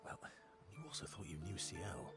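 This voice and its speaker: An actor playing a guarded, wry, mysterious man in a video game cutscene, measured and dryly teasing. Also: sits low, huge pitch swings, full character voice. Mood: subdued. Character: guarded, wry, mysterious male stranger, calm ally. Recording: music behind the voice.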